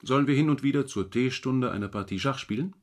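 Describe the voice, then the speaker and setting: An actor playing a jovial, patronising middle-aged asylum doctor in a radio drama, with a hearty manner. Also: male voice, medium pitch, fairly quick, lightly acted. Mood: patronising, inquiring.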